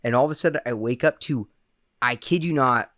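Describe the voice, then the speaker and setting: A man's voice: mid-range voice, a little fast, fairly bright tone, no room sound, clean studio sound. A man co-hosting a podcast, telling stories in a casual, comic, self-interrupting way. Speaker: podcast co-host.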